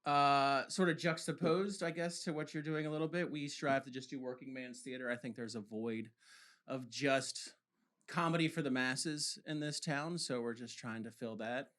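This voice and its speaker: A man's voice: medium pitch, fairly even intonation, normal speed, a bit bright. A young man, a theater company founder, chatting casually on a podcast in an easygoing, joking way. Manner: easygoing, joking, laughs often.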